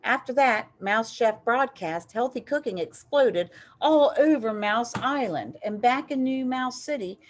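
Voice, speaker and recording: Expressive higher-voiced woman reading a storybook. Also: measured pace, few pauses. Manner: animated, acts out dialogue with dramatic emphasis. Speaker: storybook reader. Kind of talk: expressive read-aloud of a children's story.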